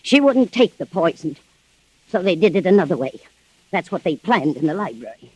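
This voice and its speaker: A woman's voice: lowish voice, sing-song, normal speed, a little mellow, husky, dry recording, poor audio. A woman playing a frightened, agitated housekeeper in old-time radio mystery style, speaking breathlessly and emphatically on a vintage recording. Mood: distressed, accusing. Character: frightened, agitated housekeeper and companion, reporting a murder.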